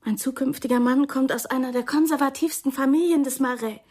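An actress playing a defensive, prim young woman in a radio crime drama, speaking in a breathy, dramatic, indignant manner. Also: high voice, fairly quick, a little mellow. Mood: agitated, pleading. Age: young adult.

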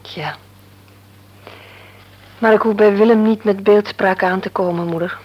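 A woman playing a husky, worried young wife. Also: medium pitch, steady tone, a little slow, muffled recording, close to an everyday voice. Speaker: married daughter. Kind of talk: radio drama acting.

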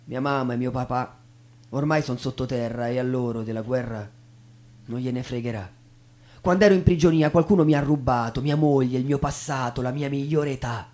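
A man's voice: medium pitch, normal speed, a little mellow. A man who narrates and recites literary texts as a theatrical stage performer.

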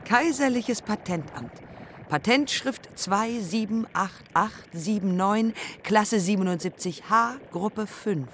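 An actress in a radio play playing a grave, haunted woman aviation pioneer who speaks in sparse, deliberate phrases. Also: medium pitch, a bit bright, a little hiss or hum. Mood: formal, proud. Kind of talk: literary radio drama acting.

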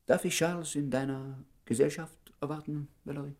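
An actor playing an inquisitive, easily flustered doctor in a German radio play, speaking with insistence and hesitation while feigning indifference. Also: male voice, fairly high pitch, steady tone, normal speed, darkish tone. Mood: awkward, feigned indifference.

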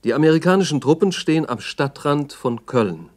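A man's voice: a little high, expressive, normal speed, darkish tone. A man narrating a 1960s radio play as a sober, formal, factual report.

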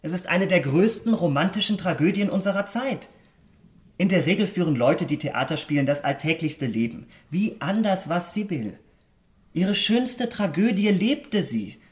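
An actor playing a vain, callous young aesthete in a German radio drama, eloquent and theatrical. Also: male voice, high voice. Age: young adult.

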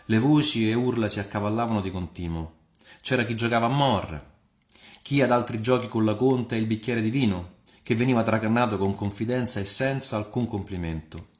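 A man's voice: lowish voice, fairly quick. A man reading scripted literary narration as a radio storyteller. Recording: reverberant voice over music. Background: soft music.

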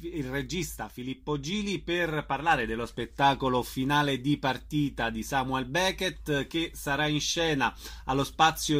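A male radio host with a somewhat high voice. He talks in a conversational way, as an interviewer putting questions to guests. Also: fairly bright tone.

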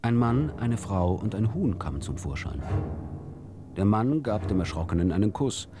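Sehr tiefe, lebhaft modulierte Männerstimme. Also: male voice, lowish voice, big pitch swings, normal speed, music in the background. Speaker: narrator. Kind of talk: literary radio story reading.

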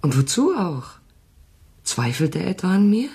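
Self-pitying French mistress, radio drama, lively swooping intonation, slightly rough voice, insistent, theatrical. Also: female voice, fairly low pitch, a little slow, exaggerated voice. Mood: insistent, self-assured. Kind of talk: German radio drama acting.

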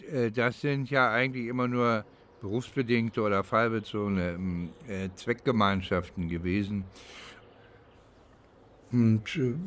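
A middle-aged man reflecting as a private detective in an unscripted-sounding monologue, searching for words in a deliberate, hesitant way. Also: medium pitch, fairly bright tone. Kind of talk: reflective documentary-style monologue.

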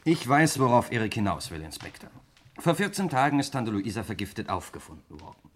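A self-assured man among the heirs in a 1960s radio murder mystery. He has a lowish voice and reasons bluntly and assertively. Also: big pitch swings, brisk. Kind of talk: 1960s German radio play acting.

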